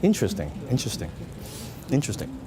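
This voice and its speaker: A man's voice: on the low side, fast, noisy background. A middle-aged man with a Hong Kong accent, speaking to a live seminar audience and asking them questions as he teaches. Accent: Hong Kong Cantonese. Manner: lively, interactive, asks the audience questions.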